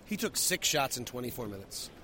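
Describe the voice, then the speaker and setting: A man's voice: medium pitch, big pitch swings, brisk, pushed and bright, slight background noise. A man hosting a sports post-game podcast, breaking down the night's play as a broadcaster would. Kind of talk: post-game analysis and commentary.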